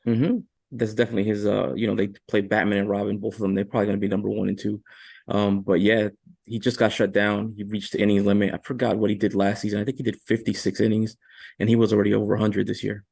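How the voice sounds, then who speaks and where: A man's voice: fairly low pitch, flat delivery, fast, gravelly, darkish tone, husky, no room sound. A man on a sports talk stream, giving casual analysis as a panelist.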